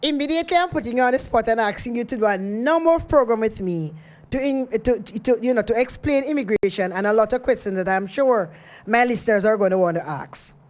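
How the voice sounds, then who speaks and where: A woman's voice: fairly high pitch, fairly quick. A woman hosting a radio interview, chatty and often repeating words as she searches for them. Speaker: radio host.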